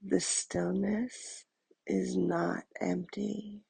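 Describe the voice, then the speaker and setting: A woman softly guiding a meditation in a soothing, breathy, husky voice, leaving long pauses between phrases. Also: mid-range voice, level tone, no echo. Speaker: meditation guide. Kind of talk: guided meditation narration.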